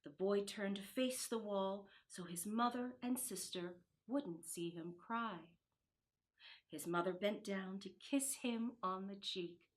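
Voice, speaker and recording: A woman reading a children's Christmas storybook aloud in an expressive, deliberate way. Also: mid-range voice. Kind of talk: storybook narration.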